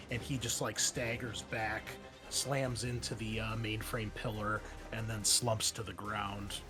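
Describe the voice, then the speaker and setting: Man game-mastering over faint music. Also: fairly low pitch. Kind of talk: improvised narration of a tabletop roleplaying game.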